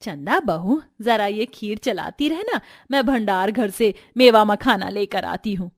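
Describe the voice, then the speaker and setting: A woman voicing a kindly middle-aged mother-in-law in a family story, with a gentle, motherly manner. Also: medium pitch, animated, measured pace, no echo, studio quality. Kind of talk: Hindi family storybook voice acting.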